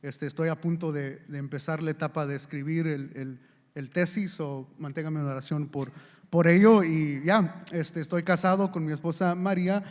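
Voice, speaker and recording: A man from the United States, speaking haltingly to an audience as a church elder. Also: a little high, fairly even intonation. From Chicago, United States. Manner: halting, searching for words. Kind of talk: casual self-introduction to an audience. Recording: reverberant hall sound.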